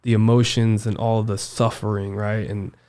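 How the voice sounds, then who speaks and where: An American man in his twenties recounting a personal story as a podcast guest, halting and emphatic, often repeating himself. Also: lowish voice, level tone, mellow. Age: 20s. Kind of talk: personal storytelling in a casual interview.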